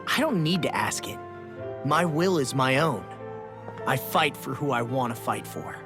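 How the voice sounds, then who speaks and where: Sincere young fighter over music. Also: male voice, mid-range voice, animated, unhurried. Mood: sincere, resolute. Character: sincere young male fighter, loyal, awkwardly bashful. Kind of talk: video game cutscene voice acting. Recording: music behind the voice.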